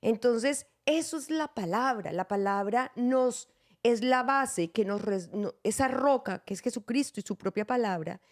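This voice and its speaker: A woman's voice: fairly high pitch, measured pace. Earnest woman explaining Bible teaching. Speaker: host.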